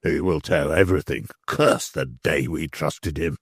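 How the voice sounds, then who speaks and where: A reader voicing a highwayman captain who speaks in low, clipped tones and curses under pressure. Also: measured pace, slightly rough, no room sound, clean studio sound, exaggerated voice. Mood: grim. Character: desperate highwayman captain, curt and cursing.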